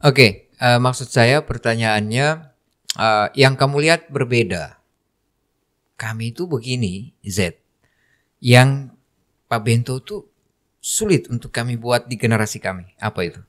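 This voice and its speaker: A man's voice: medium pitch, expressive, measured pace, pauses often, a bit bright. A man hosting a studio talk show, asking probing questions and rephrasing them with fillers. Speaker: host.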